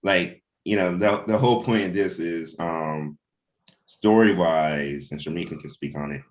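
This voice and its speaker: A man's voice: deep voice, a little slow. A man talking casually as a writer about creative work, rambling and repeating himself as he thinks aloud. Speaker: comic book writer.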